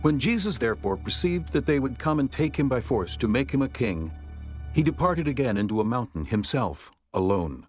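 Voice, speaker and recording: A man narrating scripture from a script in measured, formal storytelling. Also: medium pitch, big pitch swings, soft background music.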